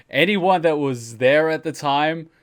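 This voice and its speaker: A man's voice: a little high, slow pace. A man hosting a reaction stream, chatting casually and enthusiastically with casual swearing. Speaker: host of a reaction livestream. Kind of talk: casual commentary and chat.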